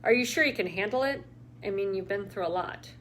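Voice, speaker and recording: A woman voicing a protective sister who speaks in a gentle, concerned way, a little higher than her own voice. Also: brisk, slight background noise, natural voice.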